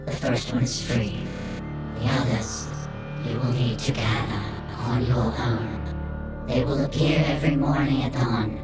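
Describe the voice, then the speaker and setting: Man playing a slow, echoing otherworldly fey being. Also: medium pitch, steady tone. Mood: solemn, cryptic. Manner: slow, measured, cryptic pronouncements. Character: otherworldly solemn fair folk being bestowing a magic coin. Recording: echoey, distant voice under loud music.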